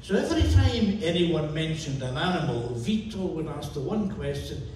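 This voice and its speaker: A man's voice: fairly high pitch, sing-song, moderate pace, darkish tone, a little hiss or hum. Older Scottish man telling wry anecdotes. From Scotland. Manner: wry, fluent storytelling. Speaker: author. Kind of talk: book talk anecdote. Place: bookstore event room. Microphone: far camera. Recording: echoey room from far off.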